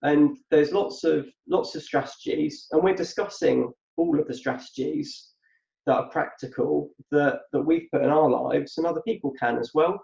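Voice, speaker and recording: A man's voice: a little high, creaky, darkish tone. A middle-aged man talking reflectively as an interview guest, often repeating words while he gathers his thoughts. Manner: halting, repeats words while thinking aloud. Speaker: podcast guest. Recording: dull roomy sound from off-mic.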